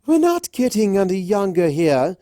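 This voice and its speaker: A narrator as shrill, impatient heckling ponies. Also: big pitch swings, normal speed, dry recording, clean studio sound, natural voice. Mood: impatient jeering. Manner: loud, jeering calls.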